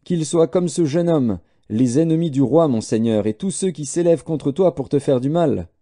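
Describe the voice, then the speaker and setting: A man's voice: fairly high pitch, animated, moderate pace, warm tone, pitched above the performer's own voice, close to an everyday voice. A man voicing an eager messenger who declaims news deferentially to a king. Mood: eager proclaiming.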